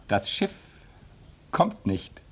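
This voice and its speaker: A man's voice: extremely slow, a bit bright. A bitter restless young man. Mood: tense. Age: 20s. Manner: impatient, sarcastic, voice climbing when agitated. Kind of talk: 1950s radio drama acting.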